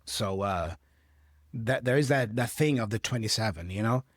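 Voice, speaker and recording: A man's voice: on the low side, expressive, moderate pace, no room sound, clean studio sound. A man with a Brazilian Portuguese accent, a native Portuguese speaker, patiently explaining English phrases from a clip for learners and checking in often. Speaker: English teacher.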